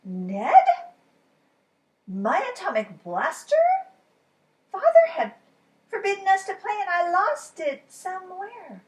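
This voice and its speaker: A woman voicing a strict but loving father in a children's storybook, with emphatic, drawn-out exclamations. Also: very high-pitched, big pitch swings, echoey room, voice pushed up, exaggerated voice. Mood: stunned. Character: strict father, exasperated, later tender and excited. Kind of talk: children's storybook read-aloud.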